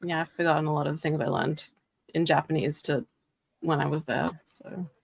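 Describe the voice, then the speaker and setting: A woman co-hosts a livestream, thinking out loud in a rambling, earnest way. Her voice is low and gravelly. The sound is dry and close. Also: fairly even intonation, a little fast, no room sound. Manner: rambling, many "like" fillers, earnest. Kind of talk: casual livestream chat.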